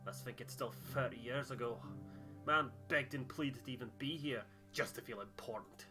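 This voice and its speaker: A man's voice: mid-range voice, normal speed, bright, forceful tone, faint music behind, natural voice. A man voicing a grumbling, scornful, folksy expedition crewman from a video game.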